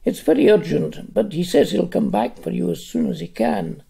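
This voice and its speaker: An elderly Scottish man voicing a sharp-tongued, affectionate woman in a story reading, scolding and teasing in a creaky, husky voice. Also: high-pitched, lively intonation, normal speed, heavily acted. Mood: brisk, fond.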